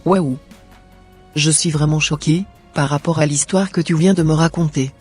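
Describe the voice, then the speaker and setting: Earnest churchgoing sister in a cartoon played by a woman, husky, quiet music. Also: deep voice, fairly even intonation, normal speed. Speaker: channel co-host. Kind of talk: scripted cartoon voice-over.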